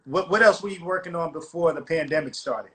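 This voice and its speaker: A man from the United States hosting a casual interview, relaxed and laughing along, often calling his guest bro. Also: a little high, brisk, a little hiss or hum. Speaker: radio and streaming host interviewer.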